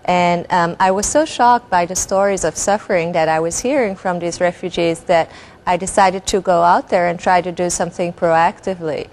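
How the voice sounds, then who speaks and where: Low-pitched woman, earnest. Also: fairly even intonation, normal speed, darkish tone, slight background noise. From Belgium. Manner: articulate, earnest, considered. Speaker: interview guest, nonprofit executive director. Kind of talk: earnest spoken interview answers.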